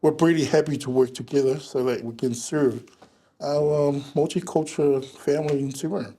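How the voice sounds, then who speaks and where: A man from Samoa, speaking as a guest in a relaxed, plain-spoken interview chat. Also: fairly high pitch, normal speed, husky. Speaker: events and marketing manager, guest.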